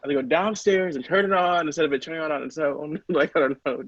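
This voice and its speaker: Higher-pitched young American guy, muffled audio. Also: fast talker, no echo. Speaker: podcast cast member. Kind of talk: casual chat.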